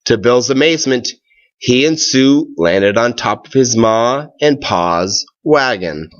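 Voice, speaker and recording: A man reading a children's tall tale aloud, with expressive, deliberate phrasing, drawn-out words and pauses. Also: mid-range voice, muffled recording.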